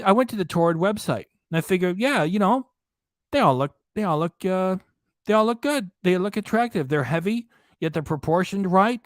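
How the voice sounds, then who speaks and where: A man's voice: fairly high pitch, no echo, clean studio sound. A man hosting a reaction live stream, losing his voice, ranting indignantly and repeating himself. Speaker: reaction channel host. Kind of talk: live stream commentary reading chat. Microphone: desk microphone, hidden behind a graphic.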